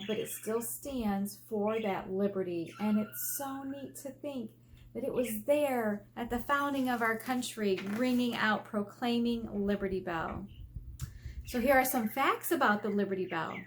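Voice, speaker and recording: A woman's voice: a little high, a little hiss or hum. An American woman, an elementary teacher, reading a children's book aloud and explaining it in simple, instructive terms.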